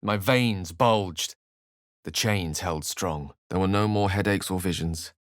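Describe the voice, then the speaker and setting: A refined, scholarly video game wizard in middle age. He phrases things ornately and with wry precision, theatrical yet measured. The recording is close and dry. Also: low-pitched, dry recording. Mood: solemn, reflective. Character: eloquent, refined adult male wizard companion, wry and scholarly.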